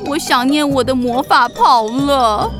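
A woman voicing a lively, affectionate, teasing witch aunt in a children's radio drama. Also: sits high, a little slow, music in the background. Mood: exasperated, wistful. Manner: playful, expressive.

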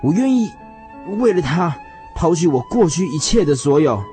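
A man's voice: a little high, very animated, normal speed, faint music behind. Man acting a fervent husband, widely swooping voice. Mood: elated, fervent. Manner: theatrical, swinging from hushed reflection to fervent declaration. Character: earnest, obsessed husband yearning for a precious pearl. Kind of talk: radio drama acting.

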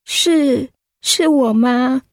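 A timid high school girl in a radio drama, speaking Taiwanese Mandarin in a high voice. She is anxious and hesitant, taking her words slowly. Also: dry recording, studio quality. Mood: frightened, hesitant. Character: worried, timid seventeen-year-old high school girl.

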